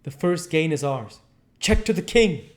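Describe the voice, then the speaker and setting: A man's voice: a little high, animated, unhurried, pitched above the performer's own voice. A man voicing an urgent older male professor in a storybook reading, stern and commanding, with stilted, exclamatory phrasing. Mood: triumphant. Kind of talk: audiobook character voice.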